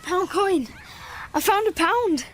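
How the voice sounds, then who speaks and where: A woman's voice: very high voice, animated, takes it slowly, slight background noise, heavily acted. An actress playing an earnest, hopeful young schoolgirl in a naturalistic radio drama. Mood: urgent, excited.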